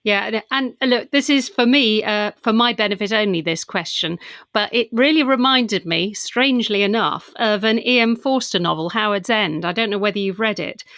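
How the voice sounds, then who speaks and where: A woman's voice: mid-range voice, normal speed, fairly bright tone, no room sound. A woman hosting a conversational book interview, asking thoughtful questions in a slightly hesitant way. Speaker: interviewer, podcast host.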